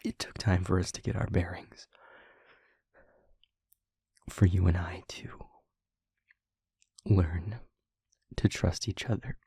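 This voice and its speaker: A man playing a weary demon in an intimate audio roleplay, speaking earnestly and haltingly in a breathy half-whisper. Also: low voice, fairly even intonation, warm tone, no room sound, studio quality, heavily acted. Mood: tender, careful. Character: weary male demon confiding a painful truth. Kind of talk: scripted audio roleplay, intimate monologue to listener. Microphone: close microphone, dry.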